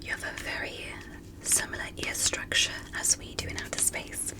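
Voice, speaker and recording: A woman voicing a gentle, curious alien doctor in a sci-fi ASMR medical roleplay, whispering in a soothing, polite and encouraging way. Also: moderate pace, lightly acted, rough recording. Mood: calm, attentive.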